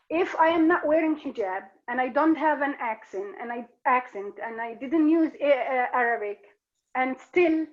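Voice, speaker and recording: Brief: woman from Palestine, high voice, earnest account, muffled lo-fi sound. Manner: earnest, repeats and restarts phrases. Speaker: speaker. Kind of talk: personal account in a dialogue session.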